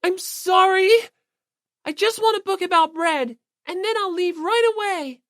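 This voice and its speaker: A woman voicing a timid, gentle teenage boy for an audiobook, polite, hesitant and small-voiced. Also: very high voice, a little slow, no echo, studio quality, pitched above the performer's own voice. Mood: earnest, polite. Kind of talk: anime-style audiobook character acting.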